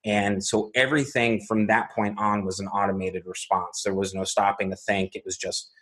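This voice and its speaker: Man in his forties, from Arizona, fairly low voice, measured step-by-step storytelling. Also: little pitch movement, a bit bright, poor audio. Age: 40s. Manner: measured, methodical, step-by-step telling. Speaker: guest. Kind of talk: recounting a personal story.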